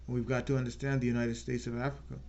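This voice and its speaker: A man hosting his own channel, giving an earnest unscripted political talk and slowing down to stress key words. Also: medium pitch, flat delivery, darkish tone, dull audio. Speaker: channel host.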